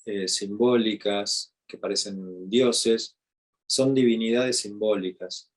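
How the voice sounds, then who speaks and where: Slow, halting Argentine man teaching. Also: on the low side, darkish tone, low-quality recording. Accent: Argentine Spanish. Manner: slow, halting, with frequent fillers and restarts. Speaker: meditation teacher. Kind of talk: unscripted teaching talk.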